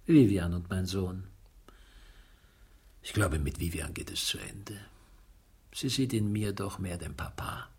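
An actor playing a world-weary middle-aged widower in a radio drama, husky, blunt and sardonic, suspicious yet gruffly consoling. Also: male voice, low voice, measured pace, pauses often, darkish tone, heavily acted. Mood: weary, resigned. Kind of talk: German radio drama acting, naturalistic dialogue.

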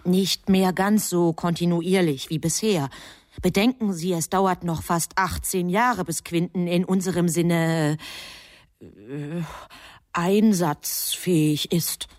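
An actress playing a composed, knowing storyteller, narrating a German radio drama in measured phrases. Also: female voice, lowish voice, fairly even intonation, darkish tone, no echo, clean studio sound. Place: studio.

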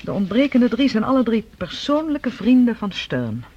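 An actress playing the earnest, formal adult daughter of a scientist in a radio drama, speaking persuasively. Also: female voice, a little high, big pitch swings, moderate pace, breathy edge. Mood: grave, confiding. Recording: dull, lo-fi radio recording.

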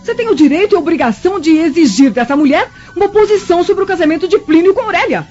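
An actress with a Brazilian accent playing a haughty, scheming middle-aged Roman matron in a radio drama, imperious and insinuating. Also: female voice, extremely high pitch, lively intonation, fast, warm tone. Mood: indignant. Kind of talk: melodramatic radio drama acting. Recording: dull lo-fi radio drama, music behind.

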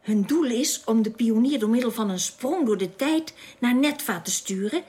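A woman's voice: on the high side, moderate pace, darkish tone, close to an everyday voice. A woman playing a firm, informed guide from an alien world in a science fiction radio drama, speaking instructively. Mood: eager, informative.

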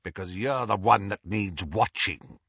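A man voicing a gruff, suspicious old male wizard who barks orders in a growl, on a slightly dull recording. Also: on the low side, unhurried, bright, forceful tone, no room sound, full character voice. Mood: gruff commanding.

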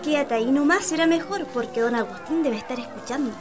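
A woman's voice: high-pitched, quick pace, over music, close to an everyday voice. A woman playing a deferential, fussy and protective housemaid in a radio play, with a Chilean accent. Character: fussy, protective female housemaid of a country estate.

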